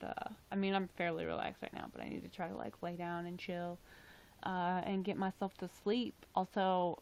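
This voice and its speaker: A young woman live streaming, chatting casually in a raspy, tired voice, rambling and swearing now and then. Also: on the low side, a little mellow.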